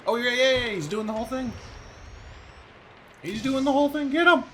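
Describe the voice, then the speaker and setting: A man's voice: very high voice, a little fast, a bit bright. A man doing casual let's-play commentary, chatty and informal, thinking aloud as he plays. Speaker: gaming video host. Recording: faint background noise.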